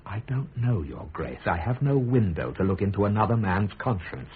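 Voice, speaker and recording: An actor playing a principled, composed, dryly witty statesman in prison, speaking in a measured, articulate way in a radio drama. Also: lowish voice, animated, husky, exaggerated voice. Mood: grave, composed. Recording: narrow, noisy radio recording.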